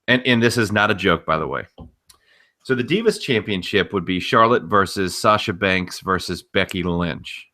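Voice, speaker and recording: A man's voice: sits low, normal speed, bright, forceful tone. A man co-hosting a casual wrestling news podcast, blunt, opinionated and freely profane. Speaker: podcast co-host.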